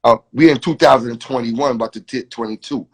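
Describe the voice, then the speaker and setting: A man's voice: medium pitch, brisk, husky. A man hosting a casual interview, asking questions with playful, teasing interjections. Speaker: interview host.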